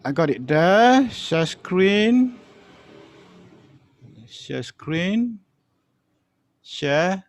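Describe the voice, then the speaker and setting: A man with a slightly high voice talks slowly and casually. He is halting and informal, often muttering to himself as if on a call from home. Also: sing-song. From Malaysia. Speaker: guest storyteller, music archivist. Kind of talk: casual chat on an online session. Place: online video call from home.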